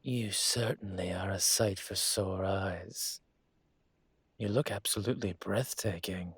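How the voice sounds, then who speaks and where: Suave male dragon crime boss, acted by a man for roleplay audio: low, unhurried, commanding and flirtatious, recorded close and dry. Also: husky, no echo, exaggerated voice. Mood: charmed, flirtatious. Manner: slow, deliberate, teasing. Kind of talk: roleplay audio acting, intimate.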